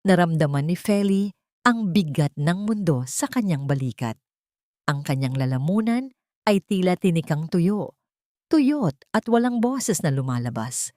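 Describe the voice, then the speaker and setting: A woman narrates a scripted romantic drama in a slightly low voice. Her delivery is solemn and melodramatic, with carefully placed phrases. The recording is dry, free of room sound. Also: animated, a little slow, dark tone, dry recording. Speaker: story narrator.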